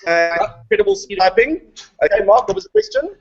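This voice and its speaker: High-pitched, animated South African man. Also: fairly quick. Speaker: host, educator. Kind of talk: hosting a live classroom video call. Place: room with students, on a video call. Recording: muffled lo-fi video call with background music.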